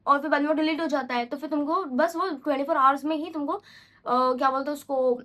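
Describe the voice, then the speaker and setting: A young woman with a high voice vlogs in a loose, unscripted way. She rambles and chats and often corrects herself mid-sentence. She mixes English phrases freely into her speech. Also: steady tone, fast talker, a bit bright. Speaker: vlogger. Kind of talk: casual unscripted vlog talk.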